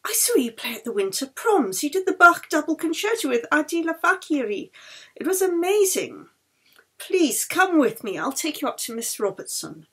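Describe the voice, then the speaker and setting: A woman's voice: high voice, sing-song, moderate pace, a bit bright, voice pushed up. A woman reading a story and voicing an eager, admiring nurse at a hospital front desk. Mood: starstruck.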